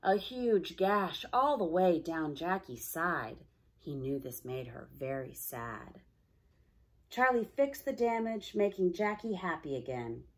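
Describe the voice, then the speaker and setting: A woman's voice: fairly low pitch, expressive, slow pace. A woman narrating a children's storybook with dramatic emphasis on key words. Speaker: storybook reader.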